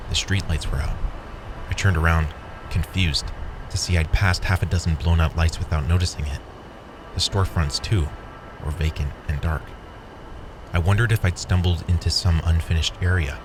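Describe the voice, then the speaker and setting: A man's voice: low voice, fairly even intonation, moderate pace, long pauses, background noise. A man reading scripted first-person horror fiction as the narrator.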